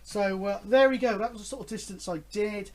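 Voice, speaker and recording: A man in his fifties with a fairly high voice chats to his viewers about his hobby. His delivery is rambling and hesitant, with an enthusiastic, conversational feel. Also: a little fast. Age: 50s. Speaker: host of a radio hobby vlog. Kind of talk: casual, rambling chat to camera.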